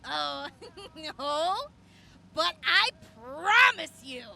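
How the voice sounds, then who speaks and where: A woman playing a brash, tough, boisterous fighter and mentor in an audio drama, blunt and quick to swear. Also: sits high, lively intonation, gravelly, heavily acted. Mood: exasperated, boastful.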